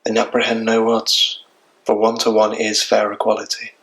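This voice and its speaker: A man playing a resolute, philosophical young warrior prince in a Shakespeare monologue, declaiming in measured, reasoned phrases. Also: on the low side, monotone, a bit bright, poor audio. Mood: resolute, reasoning.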